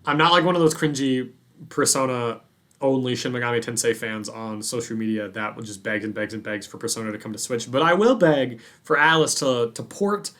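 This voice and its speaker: A man's voice: medium pitch, brisk, a bit bright. A man hosting a gaming podcast, talking informally and enthusiastically in casual conversation. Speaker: podcast host.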